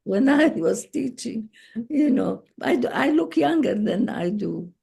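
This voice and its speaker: Gravelly-voiced Palestinian woman in her eighties. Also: a little high, expressive, dark tone. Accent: Palestinian Arabic. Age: 80s. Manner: slow, halting, with frequent pauses and restarts. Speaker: guest speaker. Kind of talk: relaxed personal storytelling. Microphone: video call.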